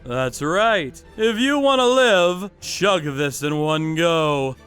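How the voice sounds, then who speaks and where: A man's voice: a little high, lively intonation, slow, fairly bright tone, clean tone, faint music behind. A man voicing a cold, vengeful vigilante who narrates his revenge in a manga dub, menacing and mocking. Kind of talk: first-person manga dub narration with acted dialogue.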